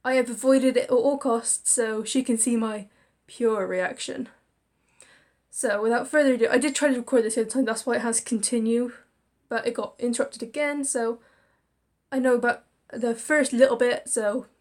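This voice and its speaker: A woman's voice: on the high side, normal speed, darkish tone. A tired young woman reading a visual novel's story aloud and chatting casually, often tripping over words and laughing at herself. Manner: chatty, self-deprecating, stumbles over words while reading. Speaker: gaming video creator.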